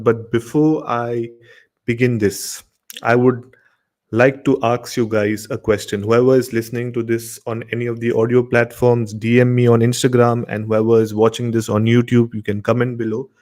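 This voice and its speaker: A man's voice: mid-range voice, level tone, normal speed, a little mellow. A man with an Indian accent informally hosting a horror show and telling stories, often repeating words and phrases. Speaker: host of a horror radio show.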